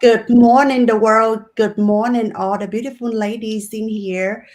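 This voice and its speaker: A woman's voice: fairly high pitch, fairly even intonation, a little slow, darkish tone. A woman from Vietnam, a guest on a live stream, speaking broken English with a Vietnamese accent and many fillers.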